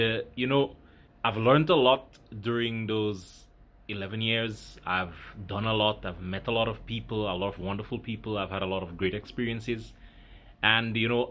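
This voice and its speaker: Reflective man from Grenada, lowish voice. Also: fairly bright tone. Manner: reflective, halting, many fillers and restarts. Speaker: vlogger. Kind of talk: casual talk to camera.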